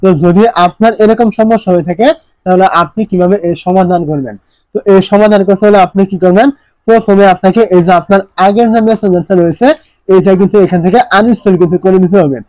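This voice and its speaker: A man's voice: high voice, fast, darkish tone, no echo, poor audio. A man narrating a step-by-step phone tutorial, instructing repetitively and often posing questions before answering them. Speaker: tech tutorial presenter.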